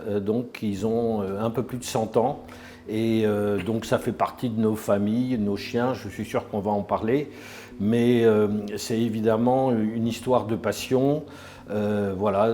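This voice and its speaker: Older man, slight Parisian accent, dark-toned. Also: mid-range voice. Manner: hesitant, many fillers and restarts. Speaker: master of a hunting pack. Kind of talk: documentary interview.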